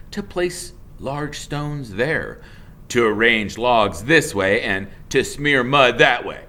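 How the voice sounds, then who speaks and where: Slow, bright-voiced man reading a story. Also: medium pitch. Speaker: teacher reading a children's book aloud. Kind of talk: storybook narration.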